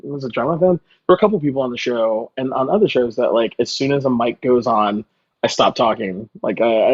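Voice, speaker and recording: Male chef guest, casual and anecdotal, mid-pitched voice on slightly lo-fi audio. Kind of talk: casual interview chat.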